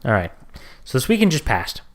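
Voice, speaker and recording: A man's voice: medium pitch, huge pitch swings, a little fast. A man co-hosting a casual podcast, getting worked up and swearing as he talks. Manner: gets worked up, swears when ranting. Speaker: podcast co-host.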